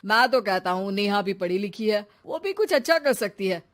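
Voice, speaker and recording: A woman's voice: medium pitch, lively intonation, normal speed, pitched below the performer's own voice, close to an everyday voice. A woman voicing an easygoing young office-working husband in a cartoon story, with a casual, chummy way of talking. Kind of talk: animated cartoon story dialogue.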